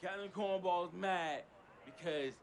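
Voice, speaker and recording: High-pitched cocky young troublemaker. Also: male voice, slow, a little hiss or hum. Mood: cocky, amused. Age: young adult. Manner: slangy, boastful, joking. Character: cocky young troublemaker, hot-headed, loyal sidekick. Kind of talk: game cutscene dialogue.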